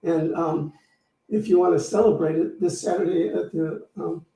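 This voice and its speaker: A man hosting a church service, speaking informally and haltingly as he makes announcements and invites offerings. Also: a little high, steady tone, mellow. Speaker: service host, minister. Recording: roomy, distant microphone.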